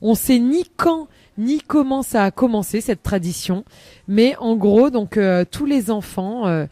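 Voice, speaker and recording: A woman's voice: a little high, animated, measured pace, dark tone, no room sound. A woman chatting on a live radio show as a columnist, rambling with many hesitations and laughing often, into a studio microphone.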